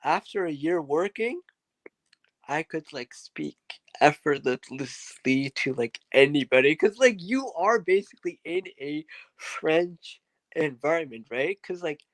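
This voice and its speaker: A man's voice: fairly high pitch, expressive, measured pace, no room sound. A man, a podcast guest, telling a story in a casual, rambling way with many fillers and asides.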